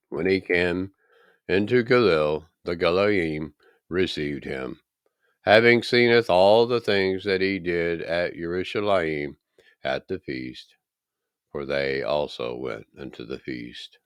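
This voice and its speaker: A man reading scripture aloud in a solemn, measured and dramatic way, with deliberate pauses. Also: low voice, clear, resonant tone, no room sound, studio quality. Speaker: scripture reader.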